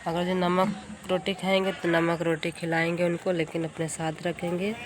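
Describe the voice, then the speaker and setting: Woman, lowish flat voice, reassuring casual talk, noisy background. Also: normal speed. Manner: reassuring, plain-spoken. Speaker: supportive older friend of the vlogger. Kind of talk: casual chat. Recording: noisy live room sound.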